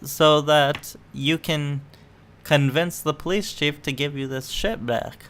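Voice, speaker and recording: A man's voice: a little high, steady tone, slow, a bit bright, slight background noise. A man giving casual, opinionated reaction commentary in a mocking, sweary way. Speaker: online political commentator.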